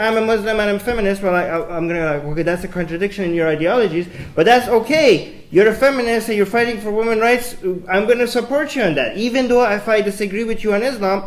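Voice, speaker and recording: A man speaking quickly at a fairly high pitch, restating his point over and over. The sound is roomy, taken in a large lecture hall. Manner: fast, repeats himself when interrupted, insistent. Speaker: panel speaker. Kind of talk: heated Q&A debate. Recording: echoey hall.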